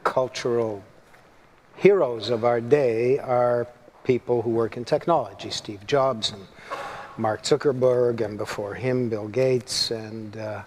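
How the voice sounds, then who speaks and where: A man's voice: mid-range voice, steady tone, takes it slowly, darkish tone, a little hiss or hum. A man hosting an onstage interview, asking questions in a chatty, friendly way. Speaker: host interviewing a speaker. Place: conference stage. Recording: long hall reverb, faint audience.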